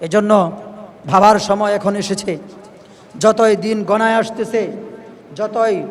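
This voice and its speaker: A man's voice: sits high, steady tone, takes it slowly. A man preaching an emphatic, exhorting Islamic sermon, repeating phrases for effect. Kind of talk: impassioned sermon to listeners. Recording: long hall reverb on voice.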